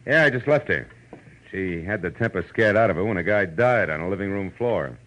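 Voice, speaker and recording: Low-voiced hard-boiled American private eye. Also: male voice, expressive, a little fast, a bit bright. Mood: cool, probing. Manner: sardonic, clipped, hard-boiled. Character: tough, wisecracking male private eye, hard-boiled and dogged. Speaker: lead detective and narrator. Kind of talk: 1940s radio detective acting and first-person narration. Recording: muffled old radio recording with music.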